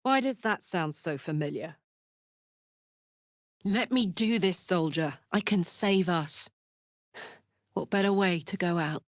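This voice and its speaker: A tough tiefling warrior woman from a fantasy video game. She is brash and slangy but warm-hearted and sincere, and she delivers her lines at an unhurried pace. The sound is dry, with no room around it. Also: fairly low pitch, dry recording. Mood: heartfelt, resolute. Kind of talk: video game character voice acting.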